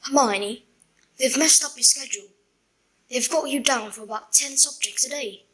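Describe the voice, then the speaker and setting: A woman playing a blunt, incredulous young schoolboy wizard in an audio drama, with a husky voice and colloquial manner. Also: high-pitched, normal speed, fairly bright tone, low-quality recording. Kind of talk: fan audio drama acting.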